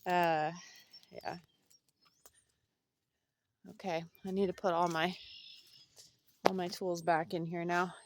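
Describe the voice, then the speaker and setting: A woman talks to her camera at home in a loose, rambling way, full of ums and restarts. Her voice sits a little low, and a faint hiss runs under the camera mic. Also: little pitch movement, slow, pauses often. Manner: rambling, hesitant, with fillers and self-repeats. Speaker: vlogger. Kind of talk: casual chat to camera.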